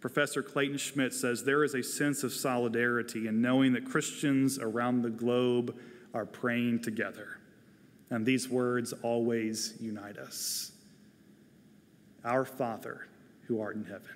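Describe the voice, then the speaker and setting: A man in his forties delivering a prepared sermon as a pastor, earnest and deliberate, speaking into a lapel mic in a large church. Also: mid-range voice, fairly even intonation. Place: large church sanctuary, indoors, at a pulpit. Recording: long hall reverb of a large sanctuary.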